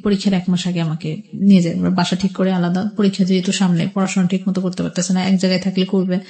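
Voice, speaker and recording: A woman's voice: on the low side, level tone, fast talker, warm tone. A middle-aged woman with a Bangladeshi accent telling her personal story as a radio interview guest, conversationally, often quoting what people said. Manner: conversational, quotes past exchanges as she narrates.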